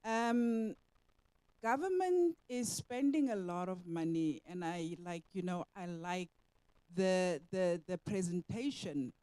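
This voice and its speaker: A woman from the audience asking a hesitant, halting question. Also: on the low side, mellow, clear, resonant tone, no echo, low-quality recording.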